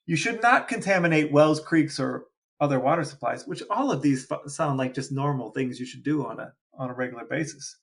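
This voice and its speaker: A man's voice: mid-range voice, fairly quick, gravelly. A man co-hosting a podcast with his brother, reading out lists and asking playful questions in casual chat. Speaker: podcast co-host.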